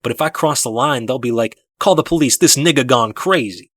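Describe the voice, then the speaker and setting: A man's voice: fairly quick, fairly bright tone, no echo, lightly acted. Man voicing an intense, guarded man with a temper. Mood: mocking, heated. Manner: emphatic, grave when speaking low.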